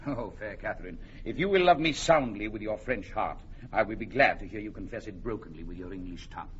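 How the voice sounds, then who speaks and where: A man's voice: lowish voice, animated, fairly quick, natural voice. An actor playing a bold, plain-spoken English warrior king who woos ardently, in classic Shakespearean radio drama style. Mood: ardent wooing. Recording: muffled old radio recording, faint noise.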